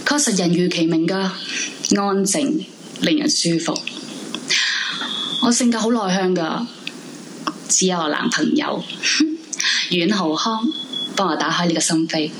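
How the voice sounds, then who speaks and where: An actress playing an introverted, brooding young woman in a radio drama, confiding in Hong Kong Cantonese with dramatic pauses. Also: on the low side, noisy background. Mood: confiding, fond. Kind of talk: radio drama monologue in first person. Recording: music bed under the voice. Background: dramatic background music.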